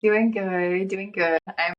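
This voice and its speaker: A young woman from North Carolina, a guest chatting casually, with a slightly scratchy, raspy throat from a cold and a chatty way of talking full of "like". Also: medium pitch, fairly even intonation, takes it slowly, lo-fi sound. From North Carolina, USA. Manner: chatty, frequent "like" filler. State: getting over a cold. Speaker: fashion model guest. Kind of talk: casual chat.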